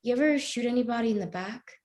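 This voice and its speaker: A woman's voice: on the high side, fast, a little mellow, natural voice. A woman playing a morally troubled woman who questions a cop earnestly and persistently in a live play reading. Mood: accusing.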